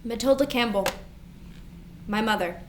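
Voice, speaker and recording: An actress plays a grieving elder sister in a stage drama reading. She is a woman with a slightly high voice, composed and responsible in how she carries herself. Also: measured pace, noisy background, lightly acted. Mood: solemn, measured.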